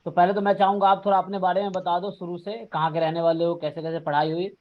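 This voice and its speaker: A man from Bihar interviewing a former student on a video call, talking in a lively, explaining way and mixing in English words. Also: high voice, fast talker, highs cut off. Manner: animated, explains in a mix of Hindi and English. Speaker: interviewer and coaching teacher. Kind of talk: casual conversational interview.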